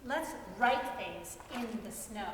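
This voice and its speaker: Lady doing a curious little boy, bouncy high voice, echoey church from a distance. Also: expressive, slow pace, fairly bright tone, slight background noise. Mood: playful. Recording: echoey hall from far off.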